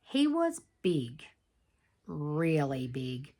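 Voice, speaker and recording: A woman reading a children's story aloud in an expressive, dramatic teacherly way, with asides to young listeners. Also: low-pitched, very slow. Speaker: teacher reading aloud to primary school students.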